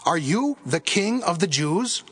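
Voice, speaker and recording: An actor playing a questioning Roman governor in a scripture audio drama, with a husky voice and long reverb around it. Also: male voice, high voice, big pitch swings, measured pace, fairly bright tone, exaggerated voice. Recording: long hall reverb, music underneath.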